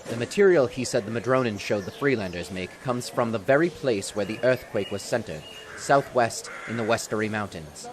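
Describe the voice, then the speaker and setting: A man acts as a thoughtful, earnest adviser in a fantasy radio drama. The voice is fairly low and reasons with deliberation. Faint noise sits behind it. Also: animated, normal speed, hardly any pauses, natural voice. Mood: pondering, grave. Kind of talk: fantasy audio drama acting.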